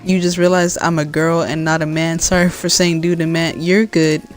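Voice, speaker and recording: A woman from California with a deep voice, chatting casually as she plays a game and answers her chat, rambling with many fillers and restarts. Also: little pitch movement, normal speed, faint music behind. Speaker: gaming streamer.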